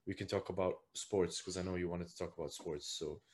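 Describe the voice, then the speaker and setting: A man with a lowish voice hosts a relaxed conversation. He hesitates often, using ums and uhs as he nudges the talk toward a new subject. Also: brisk. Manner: hesitant, steering the talk gently. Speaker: podcast host. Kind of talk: casual conversation.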